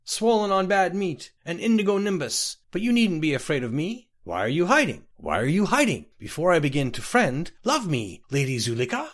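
A man's voice: big pitch swings, normal speed, hardly any pauses. A man voicing a manic little mimic creature in a storybook reading, exclaiming nonsense in an odd, tremulous voice. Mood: chattering, frantic. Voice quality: booming, oddly accented, tremulous. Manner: exclaims disjointed nonsense phrases.

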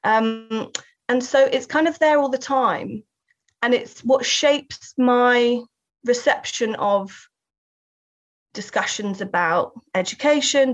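A Southern English woman speaks as an interview guest, working through her ideas with frequent short laughs and restarts. Her voice sits a little high. The recording is slightly dull and lo-fi. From England. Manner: thoughtful, laughs often, restarts sentences. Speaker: guest artist and writer. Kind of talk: reflective interview talk.

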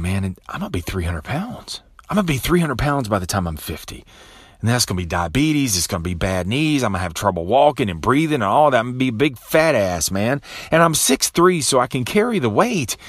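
A man in his late thirties hosting a motivational podcast, talking casually and profanely and tossing in "man" and "bro", with animated bursts and low, quiet asides. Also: breathy edge, no room sound, clean studio sound. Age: 30s. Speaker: podcast host. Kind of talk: motivational monologue.

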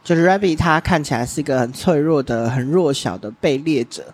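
Breathy male podcast host. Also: on the high side, brisk, darkish tone. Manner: chatty, curious, laughing between questions. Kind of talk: casual podcast interview.

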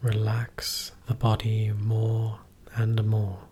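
A man leading a guided meditation as a teacher, giving gentle, measured instructions in short phrases with pauses between them. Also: fairly low pitch, completely flat delivery, a little hiss or hum.

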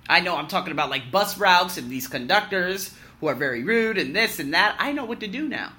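Animated man in his thirties, bright-voiced. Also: brisk. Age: 30s. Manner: animated, emphatic, swinging from loud boasts to low muttering; blunt, swears freely. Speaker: vlogger. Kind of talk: personal year-in-review monologue.

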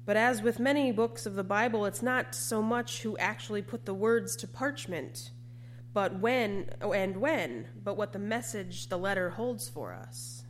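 A woman's voice: mid-range voice, measured pace. A woman preaching a sermon to a congregation. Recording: faint background noise and hum.

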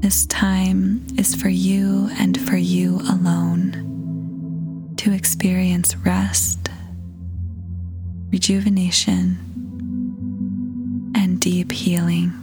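Soft whispery lady doing guided meditation, super slow n soothing, music behind her. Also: on the low side, level tone. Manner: soothing, gentle, measured with long pauses. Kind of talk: guided meditation, scripted narration.

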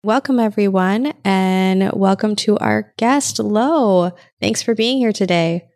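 Gravelly-voiced woman podcast host. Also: mid-range voice, takes it slowly, darkish tone. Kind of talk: scripted intro then interview.

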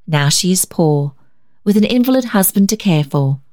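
A woman reading a story aloud as scripted narration, in an expressive, measured, dramatic way. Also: on the low side. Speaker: story reader.

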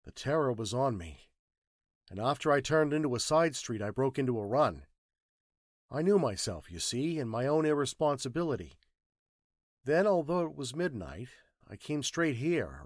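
A man's voice: mid-range voice, animated, normal speed, no room sound, clean studio sound, close to an everyday voice. A man voicing a troubled, bewildered fugitive making an earnest, dramatic confession in an audiobook story. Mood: distressed, confessing.

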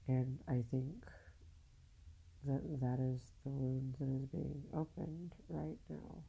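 A woman with a very dark, husky voice talks through her feelings in an unscripted solo podcast. She moves slowly and haltingly, searching for words with long pauses, and sounds downcast and weary. Her delivery is raw and candid, often sinking into a gravelly creak. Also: a bass voice, fairly even intonation, poor audio. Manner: candid, casually profane. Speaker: podcast host. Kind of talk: unscripted personal monologue, confessional.

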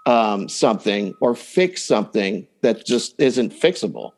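A man's voice: lowish voice, animated, a little slow. A man speaking as a coach and podcast guest, giving plain-spoken advice in casual talk and acting out example lines.

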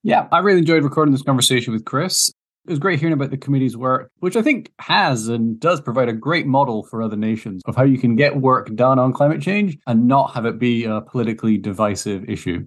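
A man's voice: mid-range voice, a little fast. A man hosting a podcast and interviewing his guest in an upbeat, friendly way. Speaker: podcast host, climate scientist.

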